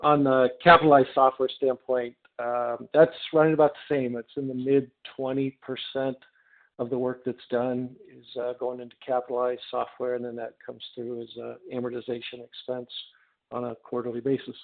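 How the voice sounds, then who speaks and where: A male finance executive explaining figures on an earnings call in a measured, matter-of-fact way. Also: medium pitch, little pitch movement. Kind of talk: explanatory business answer. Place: conference call line. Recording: muffled, band-limited conference call audio.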